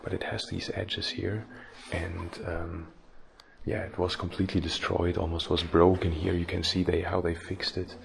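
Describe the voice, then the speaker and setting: A man's voice: low-pitched, flat delivery, normal speed, creaky, slight background noise. A man casually explaining an old church as a travel vlogger. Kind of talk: casual guided commentary.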